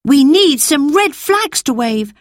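A woman's voice: high-pitched, takes it slowly, no room sound, studio quality, voice pushed up, full character voice. A woman doing an urgent, eager young boy. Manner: urgent, excited.

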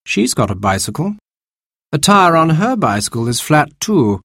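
A man's voice: a little high, sing-song, normal speed, a bit bright, dry recording, clean studio sound, voice pushed up. A man doing a cocky, bossy young man. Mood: breezy. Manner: lofty, bossy storybook voice.

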